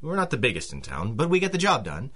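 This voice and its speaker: An actor playing a big, polite, plain-spoken working man in a radio detective drama, earnest, bashful and halting. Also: medium pitch, wildly swooping pitch, bright, forceful tone, full character voice. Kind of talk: old-time radio drama acting.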